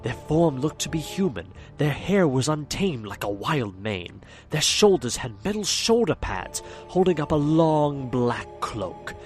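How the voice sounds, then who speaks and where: A man's voice: on the high side, very animated, unhurried, hardly any pauses, faint music behind. Man acting as ominous horror-tale narrator. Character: ominous storyteller addressing his viewers.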